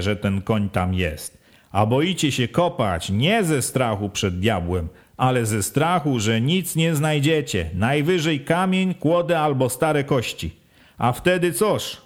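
Man reading as a sharp, pushy village head, raised voice, insistent questions, rising pitch. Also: lightly acted. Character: forceful village official, a middle-aged man, pressing and sly.

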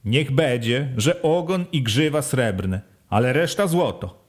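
Man reading as a sharp, pushy village head, raised voice, insistent questions, rising pitch. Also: lively intonation, unhurried. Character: forceful village official, a middle-aged man, pressing and sly.

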